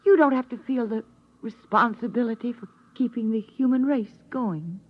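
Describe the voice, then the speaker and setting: A woman acting out a timid, gentle mother with a high, breathy voice. She speaks softly and falters, through the dull sound of old radio. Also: moderate pace, close to an everyday voice. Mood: tender. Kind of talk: 1950s radio drama acting.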